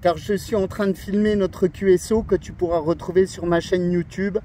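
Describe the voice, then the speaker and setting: A man's voice: high voice, steady tone, normal speed, warm tone, a little hiss or hum. A man operating a portable CB radio outdoors, chatting in a casual, friendly way with radio jargon. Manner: friendly, spells words in radio alphabet. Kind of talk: casual radio contact chat. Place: outdoors among pine trees on an island.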